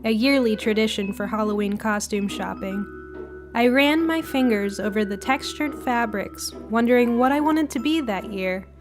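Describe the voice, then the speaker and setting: High-voiced woman narrating over music. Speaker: storyteller. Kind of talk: scripted short-story narration.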